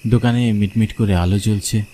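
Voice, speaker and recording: A man's voice: lowish voice, fairly even intonation, a little fast, mellow, slight background noise. A man narrating a scripted horror story in the first person, as a storyteller.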